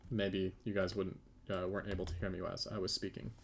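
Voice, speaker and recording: Deep gravelly guy casually showing stuff on a dev stream, bit hesitant, faint noise and typing behind him. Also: flat delivery, a little fast. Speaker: game developer and 3D modeller presenting. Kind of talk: casual live stream chat while demonstrating.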